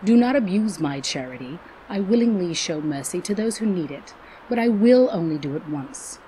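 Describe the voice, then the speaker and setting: A woman's voice: lowish voice, lively intonation, moderate pace, warm tone, a little hiss or hum, close to an everyday voice, rough recording. A woman playing a stern, commanding queen who speaks formally in an audio drama. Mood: stern, measured. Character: stern queen granting grudging mercy to released prisoners.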